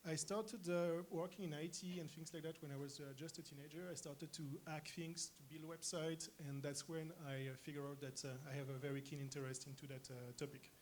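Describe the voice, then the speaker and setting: A young man from France with a French accent, answering stage interview questions thoughtfully with frequent pauses. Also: fairly high pitch, fairly even intonation, slight background noise. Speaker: interviewed guest, company co-founder. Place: conference arena stage.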